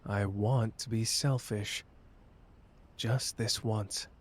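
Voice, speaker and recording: A man playing a cocky but wounded young sorcerer in an intimate roleplay audio drama, with halting, emotional delivery. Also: fairly low pitch, steady tone. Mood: tender, earnest.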